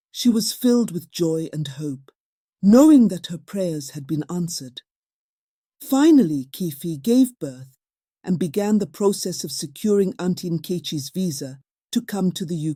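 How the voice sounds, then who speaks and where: A woman's voice: lowish voice, dark tone, dry recording, clean studio sound. A woman narrating a scripted folktale as a storyteller.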